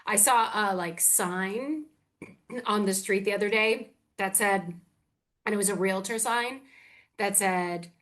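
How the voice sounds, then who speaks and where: A woman's voice: mid-range voice, slow, a bit bright. A middle-aged woman hosting a podcast, chatting candidly and with a self-deprecating streak. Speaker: podcast host. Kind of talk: casual interview chat.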